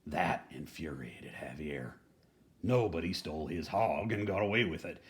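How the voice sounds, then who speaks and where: A man's voice: rumbling low, expressive, moderate pace, a little hiss or hum. A man reading a children's picture book aloud in a folksy, playfully dramatic manner, adding chatty asides. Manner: folksy, playful dramatic delivery, dropping to hushed tones.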